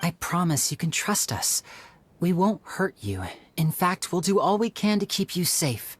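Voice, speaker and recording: A performer voicing a charming, smooth young male magician in a video game, with a polite, persuasive manner. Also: high voice, expressive, measured pace, slight background noise. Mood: reassuring.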